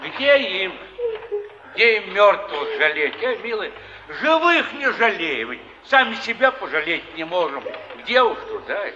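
An actor playing a kindly, consoling, folksy old wanderer in a 1950s Soviet stage drama. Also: male voice, high-pitched, big pitch swings, unhurried, pushed and bright, exaggerated voice. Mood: soothing, wry. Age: older. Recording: muffled, faintly hissy stage recording.

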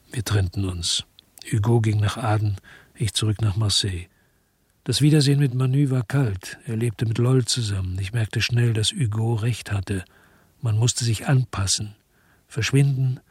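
Very husky, world-weary policeman narrator. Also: fairly low pitch, a little mellow, no echo. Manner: brooding, understated. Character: disillusioned Marseille policeman narrating, weary, guilt-ridden, laconic. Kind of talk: radio drama first-person narration and dialogue.

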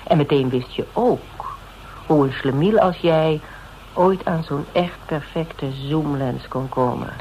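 A woman's voice: deep voice, slow, mellow. An actress playing a shrewd, mocking female interrogator in a radio drama, with a needling, conversational manner. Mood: accusing, probing. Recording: noisy radio drama recording.

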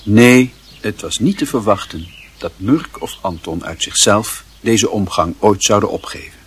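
A man's voice: fairly low pitch, expressive, measured pace, husky. A man reading scripted literary narration as the narrator of a radio drama.

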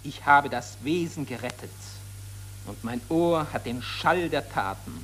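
An actor playing an impassioned satirist who accuses warmongers and summons the war dead, declaiming solemnly in 1940s German radio drama style. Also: male voice, a little high, animated, a little slow, crowd noise. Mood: solemn, bitter.

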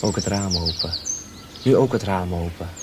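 An actor playing a frail, tender young man recovering from illness, breathy and halting, in a radio drama. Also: sits low, very noisy, lightly acted. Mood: awestruck. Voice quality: breathy, often whispering. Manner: halting, slow, intimate. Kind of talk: Dutch radio drama acting.

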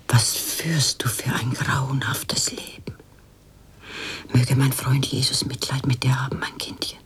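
An actress playing a worldly older fortune-teller in a radio drama, cooing endearments and making theatrical, oracular pronouncements in a breathy, husky voice. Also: female voice, a bass voice, darkish tone, heavily acted. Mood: pitying, cooing. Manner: slow, insinuating, oracular, cloying endearments.

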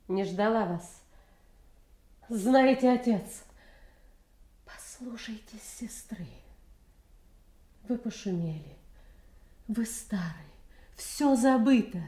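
An actress playing a cold, haughty princess in a classical audio drama, addressing her aged father with measured condescension. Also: medium pitch, a little mellow. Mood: cool, dismissive. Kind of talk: Russian classical stage drama acting, audio play. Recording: roomy, echoing studio audio play.

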